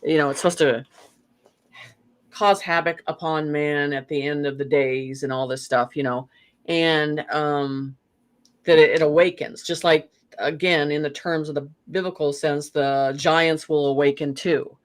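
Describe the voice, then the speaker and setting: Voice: mid-range voice, fairly even intonation, a little slow. A guest in a spiritual conversation, talking in a meandering way with frequent "you know" fillers.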